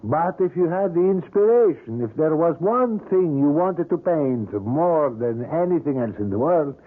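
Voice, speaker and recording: An actor playing an obsessive older male sculptor in a vintage radio drama, speaking in a smooth, courtly, persuasive way. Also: on the high side, expressive, moderate pace. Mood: courtly, insistent. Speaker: sculptor character. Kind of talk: 1970s radio drama acting. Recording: tinny lo-fi old radio broadcast.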